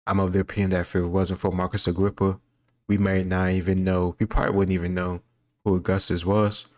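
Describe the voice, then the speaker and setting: A deep-voiced man talking casually and thinking out loud as he goes. His delivery stays very even and he hedges his points as he rambles. The recording is close and dry. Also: a little fast, dry recording. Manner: rambling, musing, self-qualifying. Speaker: host reacting to quiz questions. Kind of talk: casual commentary on history trivia.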